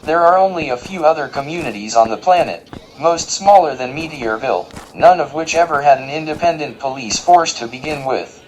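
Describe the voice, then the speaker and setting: A man playing a polite, literal-minded robot guide in an audio drama, speaking in a formal, precise and deadpan way. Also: on the high side, fairly quick, few pauses, a little hiss or hum, natural voice, poor audio. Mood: factual, deadpan.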